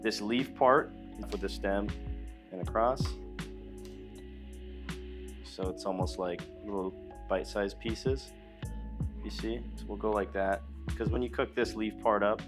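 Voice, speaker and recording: Young dude doing a cooking vlog, kinda low voice, easygoing, music going in the background. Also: male voice. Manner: relaxed, chatty instructional talk to viewers. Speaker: cooking vlogger. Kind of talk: casual cooking demonstration. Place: small indoor studio kitchen set. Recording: clear background music under voice. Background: music playing under the voice.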